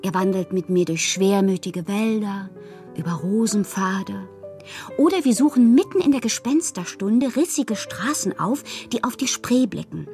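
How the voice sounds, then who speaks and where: An actress playing a passionate, capricious bohemian woman in a literary radio drama, theatrical and intimate, with a breathy voice. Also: medium pitch, animated, a little slow, darkish tone, faint music behind, lightly acted. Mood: dreamy, enamoured. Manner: theatrical, intimate, often dropping to breathy murmurs. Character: dreamy, passionate, capricious bohemian woman writing love-struck letters.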